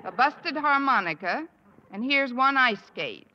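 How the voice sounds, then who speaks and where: An actress playing a wisecracking high school teacher in a 1950s radio sitcom, with dry, sardonic delivery. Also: female voice, expressive, unhurried. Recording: old radio broadcast, dull and noisy.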